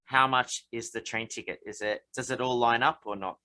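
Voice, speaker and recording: A man's voice: mid-range voice, moderate pace, pushed and bright. A man co-hosting a casual podcast, chatty and loose, steering the talk with questions. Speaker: podcast co-host. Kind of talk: casual chat.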